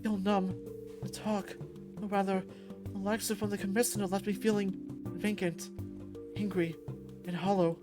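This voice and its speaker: A woman's voice: medium pitch, flat delivery, moderate pace, pauses often, music in the background. A woman reading a visual novel aloud and voicing every character herself. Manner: shifts into loud outbursts for angry lines.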